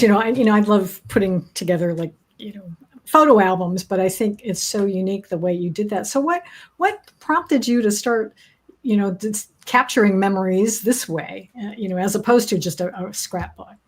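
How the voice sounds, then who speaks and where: A woman's voice: medium pitch, normal speed. A woman hosting an online talk show, chatting casually over a video call and rambling with lots of fillers. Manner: rambling, with many "you know" fillers and restarts. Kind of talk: casual online interview chat.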